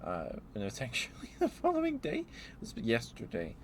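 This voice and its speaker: A man streaming ASMR, chatting in a soft, drowsy, rambling way close to the microphone. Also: medium pitch, huge pitch swings, normal speed, a little hiss or hum. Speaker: live streamer. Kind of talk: rambling ASMR livestream chat.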